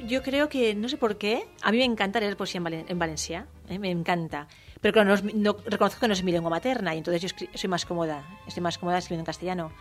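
A woman poet speaking as an interview guest in a lively, emphatic way. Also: medium pitch, faint music behind. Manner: lively, emphatic, quick-talking. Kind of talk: interview conversation.

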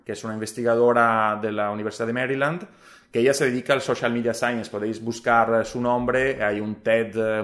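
A man's voice: lowish voice, a bit bright. A man from Italy speaking Spanish with an Italian accent, answering interview questions hesitantly with many fillers and restarts. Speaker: guest, social media scientist.

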